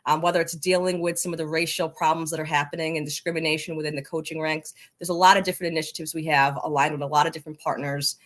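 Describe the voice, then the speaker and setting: A woman's voice: sits low, level tone, fast, few pauses, a bit bright. A woman speaking as an interview guest, answering conversationally in an enthusiastic, encouraging manner. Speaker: interview guest, coaches' association leader.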